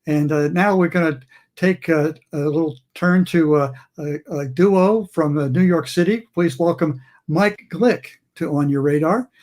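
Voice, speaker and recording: A man hosting an online music interview show, speaking haltingly with many fillers. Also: fairly high pitch, a little mellow. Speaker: host.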